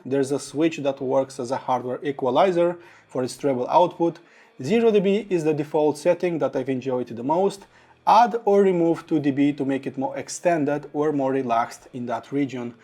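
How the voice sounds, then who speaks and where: Male product reviewer, slightly high voice with heavy fry, enthusiastic, adjective-heavy delivery. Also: darkish tone. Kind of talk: audio gear review to camera.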